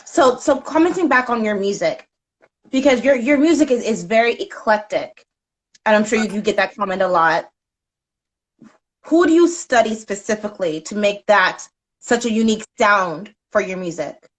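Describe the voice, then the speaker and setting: A woman's voice: mid-range voice, animated, moderate pace. A woman hosting an interview who asks her questions with a hesitant start, often repeating her first words. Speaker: interviewer, host.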